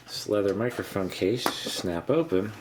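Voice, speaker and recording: Male hobbyist presenter, low-pitched, halting and matter-of-fact, casual narration over noticeable background noise. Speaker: vintage electronics hobbyist presenter. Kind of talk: casual unscripted show-and-tell narration.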